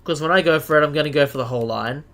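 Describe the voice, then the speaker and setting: A man's voice: fairly high pitch, rapid-fire, fairly bright tone, slight background noise. Chatty Australian man on a live stream. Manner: chatty, searches for words, self-deprecating. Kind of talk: casual live-stream chat.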